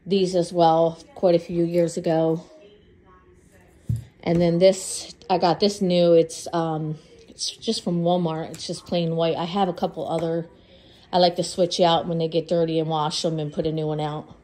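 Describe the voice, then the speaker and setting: A woman's voice: fairly low pitch, little pitch movement, normal speed, mellow. Congested woman chatting on a home tour. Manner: chatty, listing where items were bought. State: congested from a cold. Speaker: home vlogger. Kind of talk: casual home tour narration. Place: house interior, walking room to room. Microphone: handheld phone camera.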